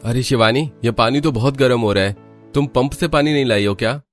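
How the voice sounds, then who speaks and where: A man voicing a brisk, plain-spoken young village farmer in a cartoon. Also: medium pitch, faint music behind, close to an everyday voice. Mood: puzzled.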